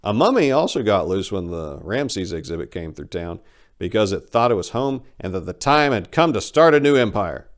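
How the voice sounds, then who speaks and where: A man with a lowish voice narrates a comic short story in the first person. His delivery is theatrical, with pitch that swings widely from phrase to phrase. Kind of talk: animated dramatic reading of a first-person story.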